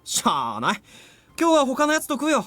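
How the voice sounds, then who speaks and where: A woman's voice: high voice, wildly swooping pitch, rapid-fire, a bit bright, dry recording, soft background music, heavily acted. A woman playing a brash, playful teenage school friend in an anime-style game, blunt and teasing. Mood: teasing, casual. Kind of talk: anime-style visual novel acting.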